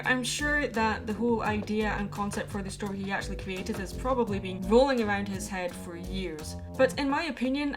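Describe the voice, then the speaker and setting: A woman giving earnest, opinionated commentary to viewers as a video commentator. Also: mid-range voice. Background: background music.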